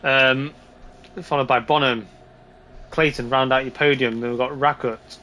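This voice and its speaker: A man with a slightly hoarse voice giving casual, informal live commentary on a sim race. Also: mid-range voice, fairly even intonation, a little slow, sharp tone.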